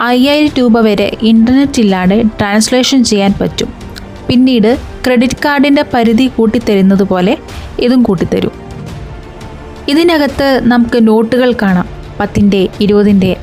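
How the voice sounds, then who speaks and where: A woman's voice: a little high, darkish tone. A woman hosting an explainer video, talking viewers through it step by step with rhetorical questions. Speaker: video channel host.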